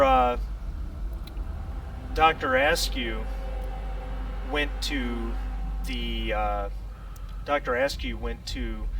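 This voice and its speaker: A man playing a shaken ship survivor in a tabletop sci-fi game, halting and stammering as he recounts an attack. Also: fairly high pitch, gravelly, exaggerated voice. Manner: halting, stammering, repeats himself. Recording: noisy room, distant mic.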